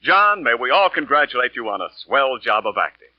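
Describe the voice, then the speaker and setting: A man's voice: medium pitch, very animated, fairly quick, no room sound. A man announcing for a 1940s radio show, delivering scripted sponsor copy with polished, emphatic salesmanship. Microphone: studio radio microphone. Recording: muffled old radio broadcast, faint music.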